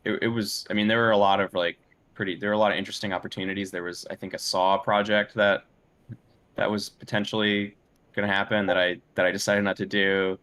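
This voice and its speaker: A man with a very low-pitched voice talks casually as a guest in an interview. He is hesitant and feels his way through each thought as he speaks. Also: level tone, quick pace, fairly bright tone. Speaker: interview guest, film and game composer.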